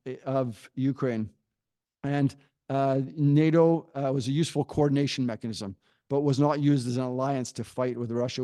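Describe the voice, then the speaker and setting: A middle-aged man, a professor, giving a prepared argument at a panel in a measured way, pausing often with fillers. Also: mid-range voice, warm tone, no echo, studio quality. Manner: measured, pauses with frequent fillers.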